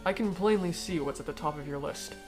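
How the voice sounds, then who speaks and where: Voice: medium pitch, darkish tone, soft background music, lightly acted. A performer in a fan dub voicing a cold, aristocratic alien noble who speaks formally and eloquently, bitter yet persuasive. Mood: calm, persuasive. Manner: formal, eloquent, measured speech. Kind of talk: fan-dub voice acting of comic dialogue.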